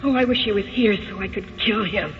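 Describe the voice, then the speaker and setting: An American woman playing a wounded, evasive wife haunted by lost love, speaking in an emotional, halting way in an old-time radio drama. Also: medium pitch, faint music behind, natural voice. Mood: bitter, anguished. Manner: emotional, halting, old-fashioned genteel exclamations. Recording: dull, noisy old radio recording.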